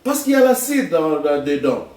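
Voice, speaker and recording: A man from Congo lays out his political views like a commentator, leaning on proverbs and speaking to the listener as "maman". His voice sits high for a man. The recording is roomy, and he sounds set back from the microphone. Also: very animated, moderate pace, darkish tone, far from the mic. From Congo (DRC). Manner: explanatory, uses proverbs, addresses listener as maman. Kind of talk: political talk show commentary.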